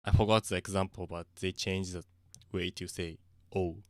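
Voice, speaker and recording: Low-voiced man with a Japanese accent. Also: flat delivery, no room sound, clean studio sound. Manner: halting and searching for words, with frequent soft laughs. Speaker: streamer. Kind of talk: casual livestream chat.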